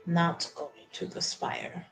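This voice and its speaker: Hesitant woman player, slow-paced. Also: a bit bright, faint music behind, poor audio. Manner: hesitant, halting, searching for words. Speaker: player in a tabletop roleplaying game. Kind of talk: unscripted in-character roleplay and table talk.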